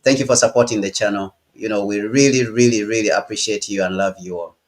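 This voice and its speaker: A man's voice: on the low side, fairly even intonation, fast talker. A man from Ghana and Uganda, a guest giving political commentary on a live stream, who often repeats words and says "you know".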